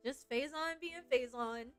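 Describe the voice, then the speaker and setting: Playful, high-voiced woman streaming a game. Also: slow pace, fairly bright tone. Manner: playful, giggly, teasing. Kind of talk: casual livestream chat and reading game story aloud. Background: game music.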